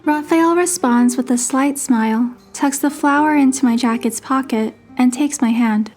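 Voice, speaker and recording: A woman voicing a gentle young heroine, with measured first-person narration and dialogue from a romance story. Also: sits high. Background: background music with guitar.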